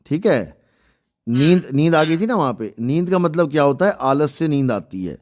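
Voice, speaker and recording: A man's voice: a little high, big pitch swings, normal speed, a little mellow. A man in an argumentative religious debate, insistently explaining through rhetorical questions and cutting in. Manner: insistent, didactic, repeats rhetorical questions, interrupts. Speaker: religious debater taking a call.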